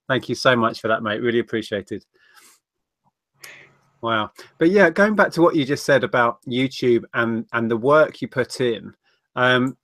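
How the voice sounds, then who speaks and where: A man's voice: medium pitch, a bit bright, no room sound. A man hosting a casual live-stream chat, speaking earnestly and restarting his phrases often. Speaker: host.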